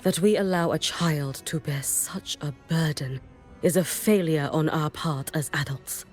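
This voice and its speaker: A woman voicing a solemn, compassionate elder in a video game, with grave, deliberate and terse phrasing. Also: deep voice, soft background music. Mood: solemn, concerned. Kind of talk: scripted video game dialogue.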